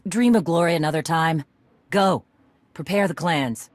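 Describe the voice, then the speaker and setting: A woman's voice: low-pitched, unhurried, dry recording. An actress playing a tough, blunt female clan commander in a video game, with a dry, authoritative manner. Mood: commanding.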